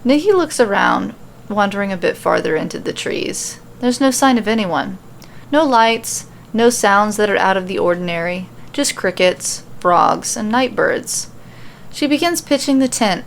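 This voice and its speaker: A woman's voice: medium pitch, animated, normal speed, creaky, a little hiss or hum. A woman hosting a podcast, informal and self-deprecating, who talks casually and reads out fan fiction. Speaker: podcast host and story reader.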